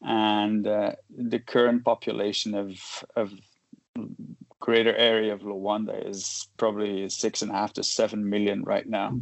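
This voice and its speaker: A man in his forties, raised in Belgium, speaks in a low, gravelly voice that stays fairly flat in tone. He talks haltingly, restarting sentences and filling gaps with "uh" and "um", and chuckles now and then. The sound is dry and close, with little room echo. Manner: halting, many restarts and fillers, chuckles while talking. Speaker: guest, photojournalist. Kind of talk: casual interview conversation.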